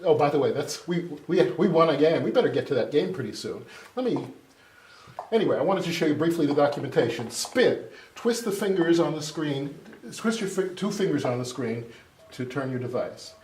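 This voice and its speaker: A man's voice: fairly high pitch, lively intonation, moderate pace, darkish tone. A man giving an informal live product demonstration, exclaiming and drifting into distracted asides. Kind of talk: informal live tech demo. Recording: echoey, noisy room, distant mic, clattering.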